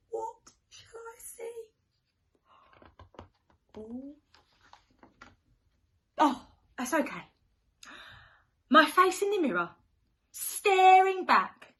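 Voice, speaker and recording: A woman with a fairly high, very lively voice reads a children's story in a sing-song rhythm. She takes it very slowly, putting questions to her young listeners with dramatic gasps and hushed moments. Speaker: primary school teacher reading a picture book to children.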